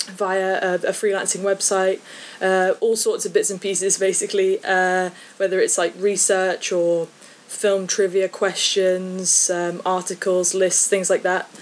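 A woman's voice: mid-range voice, a little hiss or hum. A woman, a film journalist and podcast guest, who talks thoughtfully with many ums and likes. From English Midlands. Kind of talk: casual podcast chat.

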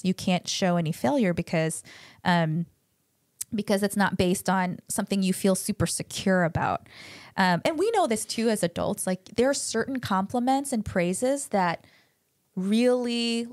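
A woman's voice: mid-range voice, a little slow, darkish tone, dry recording, studio quality. A woman from the United States, co-hosting a podcast and giving chatty parenting advice full of "like" fillers. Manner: chatty, many "like" fillers, voices quotes animatedly.

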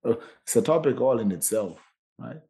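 A man's voice: mid-range voice, lively intonation, brisk, vocal fry, mellow. A man co-hosting a podcast in casual debate, often restarting sentences as he reasons aloud. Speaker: podcast co-host.

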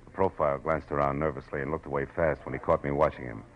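Low-voiced hard-boiled detective, vintage radio. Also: male voice, fairly even intonation, quick pace, dull audio. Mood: wry narration. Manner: terse, sardonic, world-weary. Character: hard-boiled, wry male private detective narrating his case. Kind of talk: 1940s radio detective narration and acting. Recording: vintage radio drama with music.

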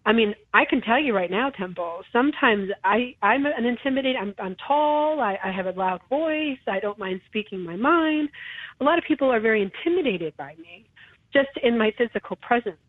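A woman's voice: on the high side, animated, a little fast, no room sound. A woman hosting a talk show, speaking earnestly and reflectively and often restarting her sentences. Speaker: show host. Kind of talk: conversational interview talk. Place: her home. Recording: tinny phone-like line.

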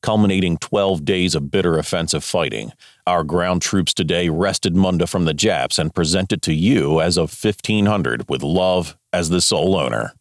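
A man's voice: deep voice, moderate pace, no room sound, studio quality. A man formally reading out a scripted military dispatch. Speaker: reader of wartime military dispatches.

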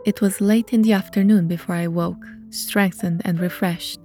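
A woman's voice: fairly low pitch, fairly even intonation, moderate pace, mellow. A woman reading scripted narration for an audio drama as its narrator. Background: music.

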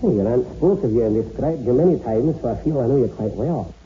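A man playing a courteous, brisk village doctor in a 1960s radio horror drama, with a formal, solicitous manner. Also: medium pitch, expressive, close to an everyday voice. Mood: apologetic, courteous. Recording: muffled old radio recording, faint hiss.

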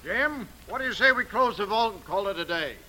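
A man's voice: high-pitched, lively intonation, brisk, pushed and bright, full character voice. A man playing a chatty, hearty American bank manager in a 1950s radio drama, easygoing and jovial. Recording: faintly noisy 1950s radio broadcast.

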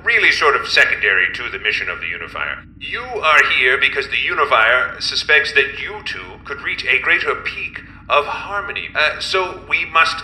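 A man playing an eccentric professor running an experiment on a space station, grandiose and halting with many uhs. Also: mid-range voice, sing-song, pushed and bright, roomy sound, a little hiss or hum, low-quality recording. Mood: grand.

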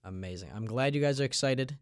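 A young man from Long Island hosts a podcast in a medium-pitched voice. The sound is dry, with very little room. He moves between prepared introduction and casual questions. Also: fast talker, clear, resonant tone, no room sound. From Long Island, New York. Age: late teens. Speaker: podcast host. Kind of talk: scripted intro and casual interview.

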